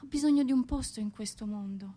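A woman's voice: a little high, fast, dark tone, lightly acted. An actress playing an anguished exiled Russian woman poet, pleading yet defiant, in an impassioned, declamatory radio monologue.

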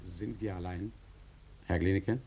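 An actor in a German radio play playing a curt, commanding male visitor from the city, with a husky, gravelly voice. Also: sits low, steady tone, a little slow, warm tone, heavily acted. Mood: insistent.

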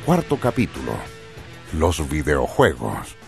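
A man's voice: rumbling low, wildly swooping pitch, takes it slowly, gravelly, over loud music. A man reading scripted educational radio narration in a formal, explanatory manner.

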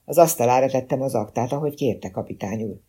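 A man's voice: mid-range voice, dark tone, breathy edge, close to an everyday voice. A man voicing an uneasy young rookie policewoman who explains herself defensively in an audiobook.

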